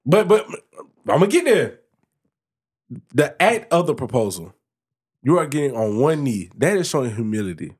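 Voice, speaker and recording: A man's voice: medium pitch, sing-song, moderate pace, pauses often, a little mellow, husky. A man co-hosting a podcast, chatting casually and explaining his view at length, often restarting his sentences. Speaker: podcast co-host.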